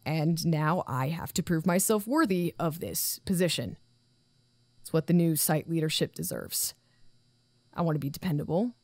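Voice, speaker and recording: Low, anxious woman director. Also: animated, normal speed, a little mellow, dry recording. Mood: earnest, weary. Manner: formal but faltering, apologetic. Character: anxious, dutiful female acting site director, self-doubting. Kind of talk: audio drama recorded report.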